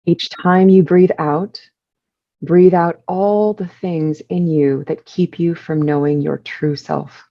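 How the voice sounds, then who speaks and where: A woman leading a guided meditation, giving soothing instructions in measured short phrases with pauses between them. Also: lowish voice, steady tone, muffled recording.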